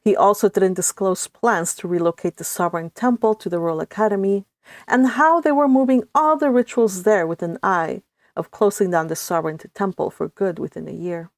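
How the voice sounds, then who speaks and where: A woman's voice: mid-range voice, moderate pace, dry recording. Non-native woman author reading her story, then casual chatter full of laughs. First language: not English. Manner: laughs often, restarts sentences.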